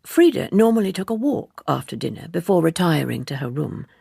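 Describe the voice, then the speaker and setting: Low, gravelly woman reading a novel. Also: no echo, studio quality. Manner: measured, expressive storytelling. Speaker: audiobook narrator. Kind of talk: scripted literary narration.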